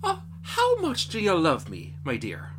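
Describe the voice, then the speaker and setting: Man doing a high-pitched theatrical rich father. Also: wildly swooping pitch, measured pace, fairly bright tone, slight background noise, heavily acted. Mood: fond, probing. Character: rich old gentleman father, needy and hot-tempered.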